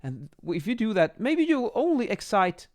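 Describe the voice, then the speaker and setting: A man in his thirties explaining as an instructor in an informal lesson, a little halting as they think aloud, recorded on a close microphone in a small room. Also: high-pitched, very animated. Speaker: acoustics instructor, presenter. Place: small home office, indoors. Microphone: microphone on boom arm, close.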